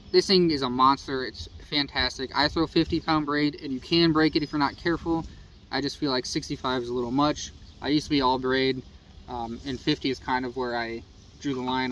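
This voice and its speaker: Young man, Midwestern American accent, slightly high voice, easygoing and rambling, phone held a bit far, faint outdoor noise. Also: fairly bright tone. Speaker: fishing channel host. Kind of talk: casual gear rundown to camera. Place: outdoors beside a house. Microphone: phone camera at arm's length.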